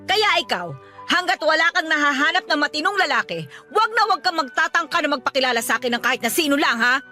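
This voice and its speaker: A woman's voice: high voice, animated, fast, sharp tone, faint music behind. A woman acting a domineering, status-conscious mother in a Filipino radio drama, scolding and commanding with threats. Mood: stern, scolding.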